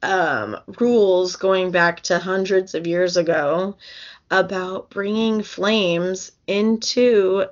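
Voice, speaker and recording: A young woman chatting slowly on a casual podcast, her voice gravelly and starting to go. She rambles, circling back with fillers and restarts. The recording is dull and lo-fi. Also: on the low side. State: losing her voice. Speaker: podcast co-host. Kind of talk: casual book podcast chat.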